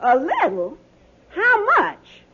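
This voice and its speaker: A woman's voice: high voice, huge pitch swings, takes it slowly, very noisy, exaggerated voice. An actress in an old radio comedy playing a practical, no-nonsense wife who scolds and questions her husband. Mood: alarmed questioning. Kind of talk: 1940s-50s radio comedy acting. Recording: muffled old radio, studio audience.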